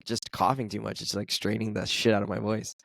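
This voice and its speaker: A man in his forties hosting a casual podcast chat, his voice hoarse from coughing, joking and laughing often. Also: on the low side, flat delivery, fairly quick, a bit bright, no echo, clean studio sound. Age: 40s. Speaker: podcast host.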